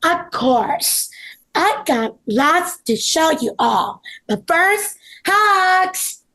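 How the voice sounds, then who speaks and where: A woman's voice: extremely high pitch, sing-song, very slow, pushed and bright, heavily acted, low-quality recording. A woman playing a friendly, cheerful tour guide friend in a table read of a children's story. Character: friendly, organised museum guide friend Tori.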